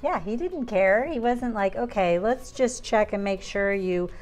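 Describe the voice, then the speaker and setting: A woman co-preaching, excited and chiming in to agree with the preacher. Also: mid-range voice, measured pace, soft background music. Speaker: co-pastor. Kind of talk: affirming preaching co-host.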